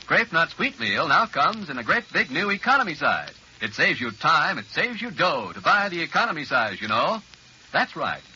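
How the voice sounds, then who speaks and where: Very bright, animated male radio announcer. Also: a little high, moderate pace, highs cut off. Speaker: commercial announcer. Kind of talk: 1940s radio ad copy. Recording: band-limited old radio with faint noise.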